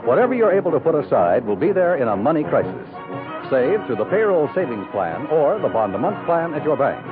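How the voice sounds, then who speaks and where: Music plays behind a male American announcer on a thin, old radio recording. His scripted delivery swoops widely in pitch, in classic fifties broadcast style. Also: sing-song, a little fast. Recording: narrow-band 1950s radio recording.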